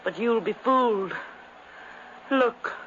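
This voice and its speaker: A woman's voice: on the high side, takes it slowly, fairly bright tone. A dying noblewoman who commands and pleads with gothic fearfulness. The delivery is theatrical vintage radio drama, on a muffled, noisy old recording. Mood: bitter, ominous. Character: dying, fearful, domineering twin sister, Lady Madeline. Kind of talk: 1950s radio drama acting.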